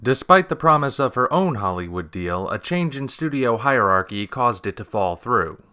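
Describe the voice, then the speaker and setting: 차분하고 진지한 남성 다큐멘터리 내레이터. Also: male voice, medium pitch, expressive, sharp tone, clear, resonant tone. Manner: measured, subdued, serious. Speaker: narrator. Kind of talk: scripted documentary-style narration.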